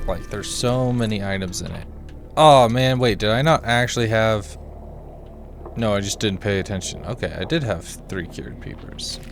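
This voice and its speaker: A man with a slightly scratchy voice giving casual gameplay commentary, rambling and muttering to himself as he thinks aloud. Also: lowish voice. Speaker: small gaming streamer. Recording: music behind the voice. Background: game music.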